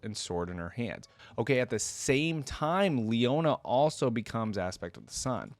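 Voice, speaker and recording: A man's voice: fairly low pitch, no room sound. A man giving casual reaction commentary and reading fantasy lore aloud as the host of a reaction video.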